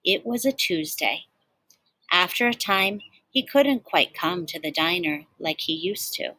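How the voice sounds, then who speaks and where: A woman reading a children's storybook aloud in an expressive, animated way, like a teacher talking to young listeners. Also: on the low side, measured pace, low-quality recording.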